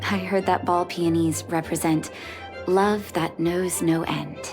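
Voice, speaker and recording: Gentle, lowish-voiced young woman doctor. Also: fairly even intonation, slow pace. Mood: wistful, reflective. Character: gentle, composed adult female doctor. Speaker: game character, underground doctor. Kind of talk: acted video game dialogue. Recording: studio voice over game music.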